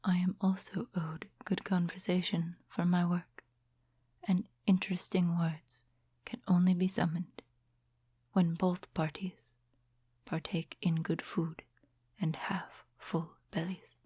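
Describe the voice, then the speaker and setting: A woman acts out a composed, mysterious witch in an ASMR fantasy roleplay. Her voice is low and breathy, held very even in pitch. She speaks softly and without hurry, in courteous, old-fashioned storybook phrasing. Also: no echo, clean studio sound. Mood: polite, expectant. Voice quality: breathy, whispery soft voice. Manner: unhurried, gentle, formal storybook phrasing. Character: soft-spoken female witch, composed, courteous, quietly mysterious night owl. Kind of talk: soft-spoken ASMR roleplay.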